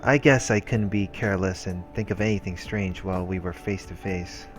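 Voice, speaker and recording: Male let's play narrator, very low voice, casual read of visual novel text, faint music behind. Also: steady tone, moderate pace.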